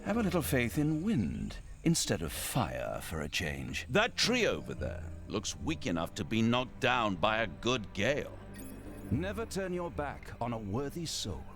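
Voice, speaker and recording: Noble army lord for a fantasy game: dignified, formal, dry wit, very animated intonation. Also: male voice, mid-range voice, a little slow, faint music behind, exaggerated voice. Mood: composed. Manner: formal, lofty, drily sardonic diction. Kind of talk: video game voice acting.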